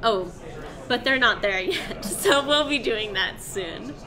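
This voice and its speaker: A woman in her twenties co-hosting a live election night stream, explaining politics in a relaxed, chatty way. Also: fairly high pitch, slow, music in the background. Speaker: co-host. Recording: noisy room with crowd chatter.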